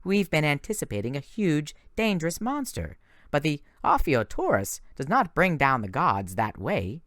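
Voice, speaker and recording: A grave huntress with formal, old-fashioned speech, as a man voices her in a storybook. She speaks a little higher and slower than the narrator, with a lively, bright tone. The recording is dry and close. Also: no echo. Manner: formal archaic wording, grave. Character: solemn ancient young huntress, archaic speech.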